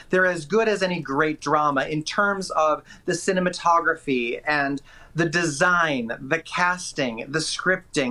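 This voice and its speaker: Bright-voiced, emphatic man reviewing movies. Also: a little high. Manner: enthusiastic, emphatic, opinionated. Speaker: film critic reviewing new movies. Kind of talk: chatty broadcast movie review.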